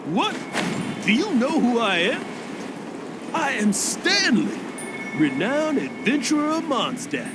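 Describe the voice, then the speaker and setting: A man's voice: extremely high pitch, sing-song, slow, long pauses, noisy background, exaggerated voice. A man voicing a boastful, self-important adventurer in a video game, with a pompous, dramatic manner. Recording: loud music under the voice.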